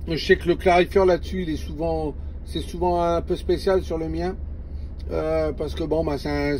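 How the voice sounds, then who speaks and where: A man chatting casually over CB radio from inside a parked vehicle, often repeating his phrases in a halting way. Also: a little high, a little mellow, slight background noise, rough recording. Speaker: CB radio hobbyist filming a contact.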